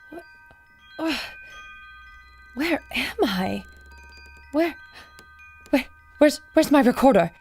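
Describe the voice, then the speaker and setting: A woman playing an earnest, inquisitive woman adventurer in a pulp adventure audio drama, with an expressive, wondering manner. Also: high-pitched, drawn-out words, pauses often, soft background music, exaggerated voice. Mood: dazed, anxious.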